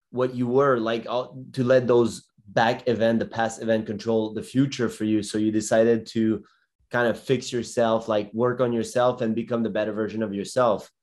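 A man's voice: lowish voice, a little mellow. A man hosting an interview podcast, talking loosely and rambling with lots of "like" fillers. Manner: loose, rambling, heavy use of "like". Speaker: podcast host.

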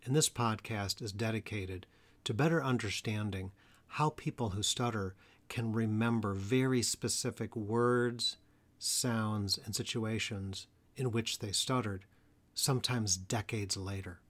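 A man hosting an educational podcast about stuttering, speaking in an earnest, measured way and slowing down on key phrases. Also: on the low side, a bit bright. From Atlanta area, US. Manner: earnest, measured delivery with slow emphatic phrases. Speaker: podcast host, stuttering therapist. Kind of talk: solo educational podcast talk.

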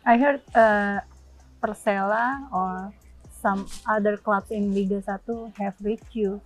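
Woman interviewer, short simple questions, lots of mm-hmms, soft music under it. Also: mid-range voice, fairly even intonation, slow, poor audio. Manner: short prompts and backchannel hums.

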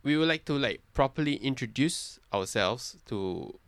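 A man's voice: mid-range voice, animated, normal speed, a bit bright, no echo. A man, chatting casually as a podcast host, swearing and giggling a lot. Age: 20s. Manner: giggly, swears often, switches into Malay words. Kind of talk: casual chat.

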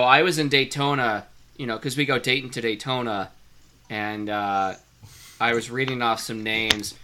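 A man's voice: mid-range voice, background noise. A man with a Midwestern American accent, chatting casually as a podcast co-host and telling wry, rambling anecdotes. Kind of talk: casual chat.

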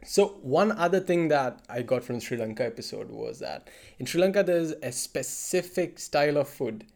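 A man from Kerala, chatting in a lively, animated way and mixing English with Tamil. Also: fairly high pitch, normal speed, creaky. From Kerala, India. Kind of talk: casual chat.

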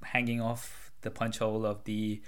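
A man's voice: fairly low pitch, completely flat delivery, moderate pace, a bit bright, clean tone. A man, likely in his twenties, hosting a casual conversational interview. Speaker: interview host, content creator. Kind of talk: casual chat.

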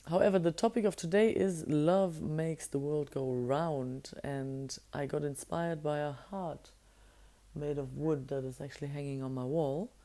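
امرأة بصوت عميق مبحوح وخشن. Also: female voice, gravelly, warm tone. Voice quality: naturally deep voice. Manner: reflective, musing aloud with searching restarts. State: hoarse, voice strained from singing. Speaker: podcast host. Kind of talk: casual solo podcast monologue.

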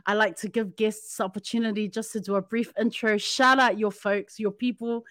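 A woman's voice: mid-range voice, steady tone, normal speed, a bit bright, dry recording, clean studio sound. A woman hosting a podcast interview, upbeat and chatty, laughing often and using casual slang. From Samoan community in New Zealand. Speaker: podcast host. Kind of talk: warm interview chat.

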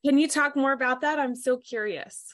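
A woman's voice: sits high, normal speed, fairly bright tone. A woman hosting an interview in a casual, enthusiastic way, laughing easily as she responds. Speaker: podcast host.

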